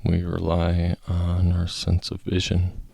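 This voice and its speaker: A man leading a guided meditation, speaking in a calm, measured, gently instructive manner with long pauses. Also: sits low, creaky, dry recording.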